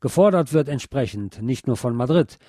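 A man reading scripted radio news in a measured, formal manner. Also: medium pitch, lively intonation, dark tone, dry recording, studio quality.

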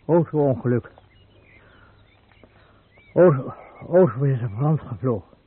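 Radio drama: lonely burn patient, slow halting fragments and stammer, narrow tinny sound. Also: male voice, mid-range voice, lively intonation, slightly rough. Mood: hesitant. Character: badly burned male car-crash patient, halting, lonely, insecure. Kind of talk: Dutch radio drama acting. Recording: thin phone-like band, muffled radio recording.

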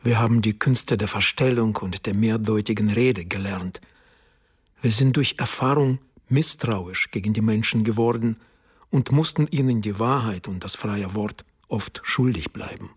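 Male narrator, grave and measured, very husky tone. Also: on the low side. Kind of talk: scripted radio narration.

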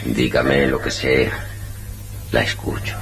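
Super deep creaky-voiced doctor, formal and fatherly, old radio drama. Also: level tone, fairly bright tone, full character voice. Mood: calm, paternal. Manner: measured, formal, paternal. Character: grave, reassuring male hospital doctor. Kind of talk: old-fashioned Spanish radio serial acting.

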